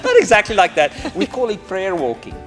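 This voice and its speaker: A man's voice: high-pitched, big pitch swings, fairly quick. A man from South Africa with a South African accent, whose first language is Afrikaans, explaining evangelism in an eager, emphatic role-play. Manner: enthusiastic, emphatic, repeats phrases. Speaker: missionary trainer. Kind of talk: role-play teaching skit. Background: music.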